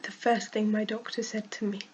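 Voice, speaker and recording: Croaky woman vlogging with a cold. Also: medium pitch, level tone, normal speed, slight background noise. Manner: laughs often, frank and exasperated. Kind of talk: casual vlog rant.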